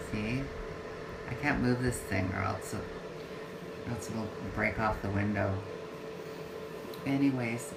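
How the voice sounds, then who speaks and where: A woman in her fifties talks slowly and haltingly into a home livestream, pausing on fillers and restarting her sentences. Her voice rings in an echoey room. A loud air-conditioning hum runs underneath. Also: very deep voice. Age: 50s. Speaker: vlogger. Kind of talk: casual livestream talk. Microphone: phone or webcam livestream.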